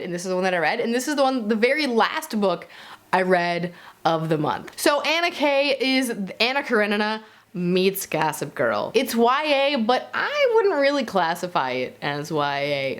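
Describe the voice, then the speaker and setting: A woman's voice: mid-range voice, big pitch swings, moderate pace. A woman giving a casual, chatty book review to camera, informal and conversational, with playful asides and self-corrections.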